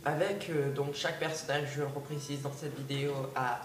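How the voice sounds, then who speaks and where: Man, slightly high voice, stuffy with a cold, hesitant and full of fillers, echoey room, sits back from the mic. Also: steady tone, a little fast, distant mic. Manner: hesitant, many fillers and restarts. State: has a cold. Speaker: gaming video creator. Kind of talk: casual gameplay commentary. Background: background music.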